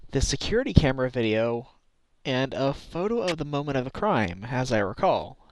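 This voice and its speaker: A man's voice: medium pitch, big pitch swings, moderate pace, no echo, lightly acted. A man voicing an earnest, determined defense lawyer in a game fandub, in a conversational manner. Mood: thoughtful. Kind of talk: scripted game fandub acting.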